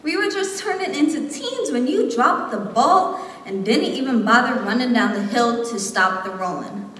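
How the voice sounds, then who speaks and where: Young female slam poet, emphatic and rhythmic, higher voice, reverberant room with faint noise. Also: animated, moderate pace, fairly bright tone. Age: young adult. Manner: impassioned, rhythmic, emphatic delivery. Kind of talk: slam poetry recitation. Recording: echoey hall, distant mic, faint noise.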